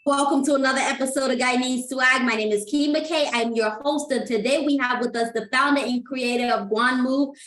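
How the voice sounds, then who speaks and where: A woman's voice: sits high, fairly even intonation, a little fast, hardly any pauses, fairly bright tone, a little hiss or hum. A woman hosting an interview, chatty and enthusiastic as she asks her questions. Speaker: host. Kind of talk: casual interview. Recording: roomy echo.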